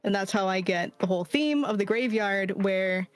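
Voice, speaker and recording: A woman with a Boston accent talks loosely and chattily at a middle pitch, piling up fillers and the odd curse as she spins a backstory. Soft music plays faintly underneath her streaming mic. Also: moderate pace. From near Boston, US East Coast. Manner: chatty, full of "like", swears casually. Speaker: guest, VTuber. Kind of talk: casual chat, telling her character backstory.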